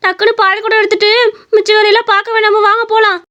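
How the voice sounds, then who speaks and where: A woman voicing a bossy, theatrical village woman in an animated comedy, insistent, with rural Tamil Nadu speech. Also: very high-pitched, fast, dull audio. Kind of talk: animated comedy story voice acting.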